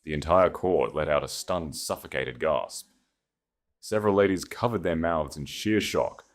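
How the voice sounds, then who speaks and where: A man narrates scripted dramatic fiction in a very deep voice. His delivery is measured and solemn, with a theatrical weight to the phrasing.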